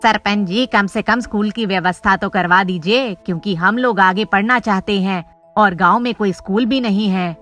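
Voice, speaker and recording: An earnest villager from a cartoon moral tale, played by a woman. The voice is medium in pitch and deliberate, with music underneath. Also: fairly bright tone, lightly acted. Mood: pleading.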